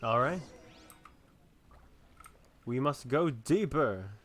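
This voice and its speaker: A man's voice: mid-range voice, expressive, drawn-out words, a bit bright, exaggerated voice. A man making casual remarks during video gameplay.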